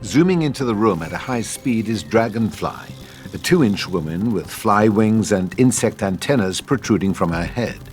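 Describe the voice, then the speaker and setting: A man delivers scripted narration and stage directions for an audio drama. His voice is on the low side. Music is clearly heard behind him as he reads. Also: animated, normal speed.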